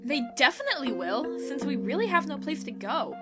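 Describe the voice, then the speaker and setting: A woman playing a bossy, confident, energetic teenage schoolgirl in an audio drama, brisk and self-assured. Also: high-pitched. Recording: loud music under the voice.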